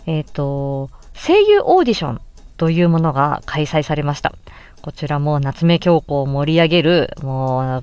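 A woman hosting a casual solo podcast, chatting in an animated way with emphatic exclamations as she looks back on a project. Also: sits low. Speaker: podcast host and amateur voice actress. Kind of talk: casual solo chat looking back on an audio drama.

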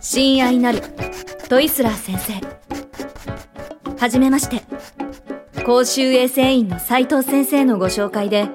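A determined young female social worker in a radio drama. Her voice sits a little high and speaks with earnest, gentle persuasion. Music plays clearly behind her. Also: unhurried, pauses often. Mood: formal, determined.

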